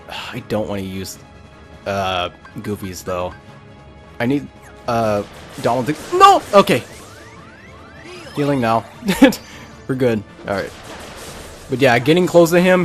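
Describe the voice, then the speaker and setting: A man giving casual, halting live game commentary, breaking off to exclaim at the game. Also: medium pitch, very animated, faint music behind. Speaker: gaming video creator.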